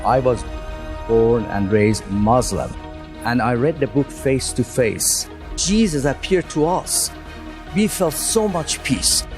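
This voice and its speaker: A man giving a fervent religious testimony in halting phrases. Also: mid-range voice, expressive, over music.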